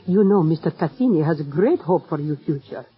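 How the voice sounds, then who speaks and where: Low, theatrical opera diva. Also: female voice, animated, fairly quick. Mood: affectionate. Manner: theatrical, grand. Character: proud, imperious, passionate female opera diva, secretly a mother. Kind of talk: 1940s radio drama acting. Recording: muffled vintage radio recording.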